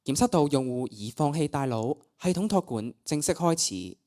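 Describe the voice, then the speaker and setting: A woman's voice: low voice, animated, moderate pace, warm tone. A teenage girl playing a cold, absurdly confident AI assistant in a radio play, announcing in formal, clinical phrases. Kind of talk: student radio play acting.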